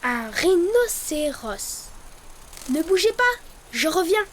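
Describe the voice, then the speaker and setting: A woman's voice: extremely high pitch, very animated, takes it slowly, a loud crowd, heavily acted. A woman voicing a cheerful, helpful young child who gives step-by-step drawing instructions in a children's cartoon. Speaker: host of drawing tutorial. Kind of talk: children's educational cartoon acting. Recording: loud music behind the voice.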